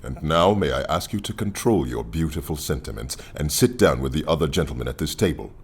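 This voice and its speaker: An actor playing an imposing, sardonic anarchist leader in a radio play, speaking with pompous condescension. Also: male voice, very deep voice, expressive, a little fast, slightly rough, full character voice. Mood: condescending.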